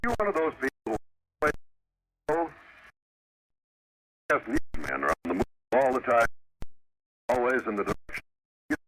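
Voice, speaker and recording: A man's voice: medium pitch, fairly bright tone. A man with an American accent announcing in a polished, formal 1950s radio style, reading scripted copy. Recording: faintly noisy vintage radio recording.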